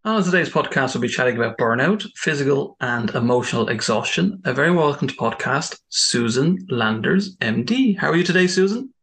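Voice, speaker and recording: A man's voice: medium pitch, lively intonation, brisk, hardly any pauses, a bit bright. A man hosting a podcast interview, asking upbeat, chatty questions with small restarts. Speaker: podcast host.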